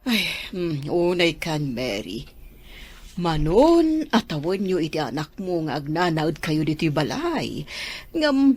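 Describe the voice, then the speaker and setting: A woman playing a commanding but kindly lady employer in a radio drama, with a husky voice and a direct manner. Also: deep voice, sing-song, slow pace, darkish tone, heavily acted. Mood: displeased. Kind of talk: radio drama acting in dialogue.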